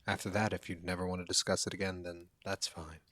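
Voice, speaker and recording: Male actor as protective young guy friend, low voice, earnest, intimate boyfriend roleplay, close dry sound. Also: level tone, fast, a bit bright, no room sound. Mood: tender, reassuring. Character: caring, protective young male college friend, secretly smitten. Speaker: audio roleplay performer. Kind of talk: intimate boyfriend ASMR roleplay, one-sided dialogue.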